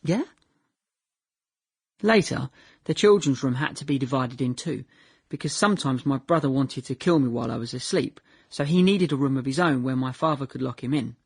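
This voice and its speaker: An actor playing a naive young man in a radio drama monologue, recalling his childhood in a deadpan, chatty way, often correcting himself. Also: mid-range voice, normal speed, vocal fry, husky, no room sound, studio quality. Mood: deadpan reminiscing. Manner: deadpan, chatty, self-correcting, trailing into "yeah".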